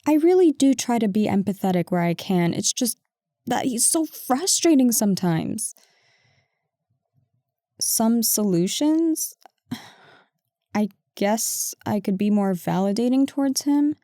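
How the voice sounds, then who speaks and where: Woman acting a bickering girlfriend, mellow, unhurried, very dry. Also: on the high side, lively intonation, no echo. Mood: conciliatory, hesitant. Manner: conversational, exasperated, sarcastic, addressing an unheard partner. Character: bickering, defensive but affectionate girlfriend in couples counseling. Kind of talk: intimate audio roleplay acting.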